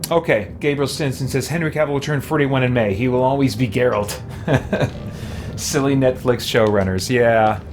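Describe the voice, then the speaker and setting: A man's voice: mid-range voice, a little slow. A man giving casual live gameplay commentary as a streamer, now and then reading chat aloud. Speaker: video game streamer. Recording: loud game music behind voice. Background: loud game music and effects.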